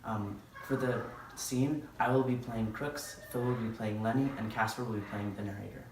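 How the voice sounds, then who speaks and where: A man's voice: fairly low pitch, fairly even intonation, moderate pace. A young male student giving an explanatory class presentation indoors, on a distant camera. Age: young adult. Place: indoor room with curtained window. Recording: echoey room, distant camera mic, faint noise.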